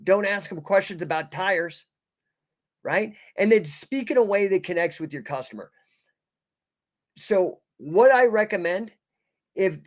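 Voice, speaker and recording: A man coaching an online audience informally, speaking in emphatic short phrases with slangy, buddy-style address and frequent check-in questions. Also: sits high.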